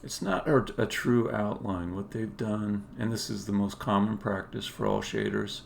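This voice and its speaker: Low, gravelly man thinking aloud. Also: normal speed. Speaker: game developer, live streamer. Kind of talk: casual live-coding commentary, explaining on a stream. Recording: clear background noise.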